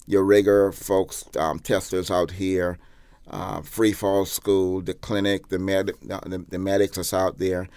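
Deep-voiced man from Guyana, hesitant. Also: clear, resonant tone, no room sound. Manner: halting, many ums and restarts. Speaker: interviewee, former Army staff. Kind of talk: interview answers.

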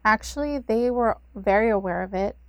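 A woman's voice: a little high, normal speed, no room sound. A woman who is a community services director, explaining steadily in an interview with the occasional filler. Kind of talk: informational interview.

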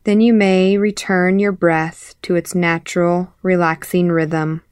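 Woman, meditation instructor, very slow pace, lowish pitch, calm, measured phrasing, guided body scan. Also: smooth, dry recording, studio quality. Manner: calm, measured, pausing between short phrases.

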